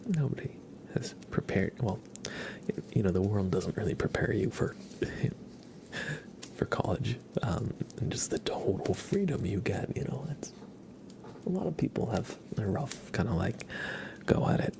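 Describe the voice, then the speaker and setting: A young man hosting a relaxed ASMR livestream, mostly whispering and speaking softly in a reassuring, encouraging way. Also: lowish voice, measured pace, vocal fry. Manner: soft-spoken, mostly whispering. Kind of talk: relaxed ASMR, chatting with viewers and reading tarot cards.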